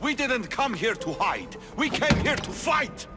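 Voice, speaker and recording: An actor playing a brash, hot-blooded Spartan general in a video game, speaking in a blunt, martial way. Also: male voice, extremely high pitch, sing-song, a little slow, music in the background, heavily acted. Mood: impatient, defiant. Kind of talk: dramatic video game cutscene acting.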